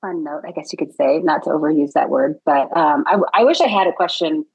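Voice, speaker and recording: An enthusiastic woman hosts a conversational podcast interview. Her voice sits on the lower side. She keeps things upbeat and laughs easily with her guests. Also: brisk, vocal fry, husky, rough recording. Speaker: podcast host.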